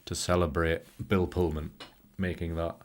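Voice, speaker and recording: A man's voice: deep voice, level tone, normal speed. A middle-aged British man hosting a casual live stream, joking and greeting viewers by name as he edits photos, a bit tired. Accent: British English. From Britain. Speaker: livestream host. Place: home studio room.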